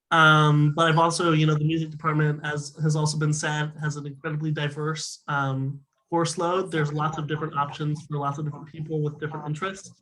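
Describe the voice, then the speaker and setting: A young adult man, a music student, talking on a panel, upbeat and enthusiastic, hoarse and raspy because he is losing his voice. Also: on the high side, fairly bright tone, low-quality recording. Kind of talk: panel discussion.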